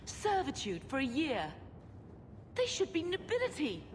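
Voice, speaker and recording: An anxious middle-aged noblewoman in a fantasy game. Her highish voice swings plaintively and insistently, with music behind. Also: huge pitch swings, a little slow, full character voice. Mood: distressed, insistent. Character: anxious, proud middle-aged mother of fallen nobility. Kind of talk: video game cutscene acting.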